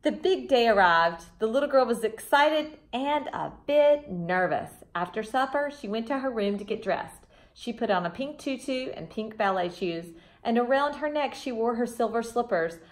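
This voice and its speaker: A woman's voice: on the high side, expressive, moderate pace. A woman in her thirties reading a children's storybook expressively, with teacherly asides, recorded indoors at home. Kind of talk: expressive picture-book read-aloud. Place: front room of a house, indoors.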